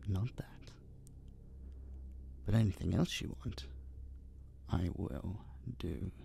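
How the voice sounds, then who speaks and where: An obsessive, possessive masked kidnapper played by a man in an intimate audio drama. He speaks very slowly and half in whispers, with a heavy gravelly creak. His manner is dominant, cooing and teasing, coaxing with open menace. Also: low voice, dark tone, heavily acted. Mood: teasing, menacing.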